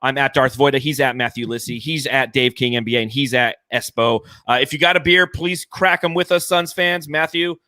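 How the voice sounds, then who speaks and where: A man hosting a sports fan podcast with excited, profane banter, delivered in a boisterous, declamatory way. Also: medium pitch, moderate pace, few pauses, no echo, studio quality. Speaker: podcast host.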